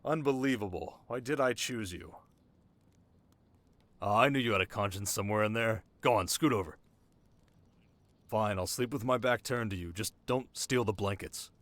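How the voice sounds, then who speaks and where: An actor playing a sharp, haunted young king in an intimate ASMR romance roleplay, talking wryly and dramatically to his listener. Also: lowish voice, normal speed, long pauses, no echo, lightly acted. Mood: playful complaining. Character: young desert king, sharp, wry, haunted, teasingly tender.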